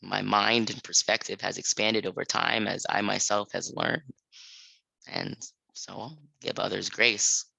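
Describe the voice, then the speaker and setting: A man on a panel, calmly reading a reflective personal story at a library event. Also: on the low side, vocal fry, husky, no room sound, low-quality recording. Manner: calm, measured, reflective.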